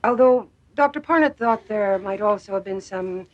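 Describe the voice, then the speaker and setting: An actress playing an experienced, composed female psychiatric nurse in a television drama, speaking in a measured, matter-of-fact way. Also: medium pitch, muffled recording, lightly acted. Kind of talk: naturalistic television drama dialogue.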